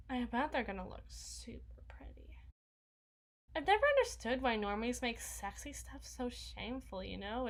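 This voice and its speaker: A woman's voice: on the high side, a bit bright. A woman voicing an awkward, needy, housebound young vampire in an ASMR roleplay, rambling and stammering as she corrects herself. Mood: awkward, defensive. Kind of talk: intimate ASMR roleplay monologue.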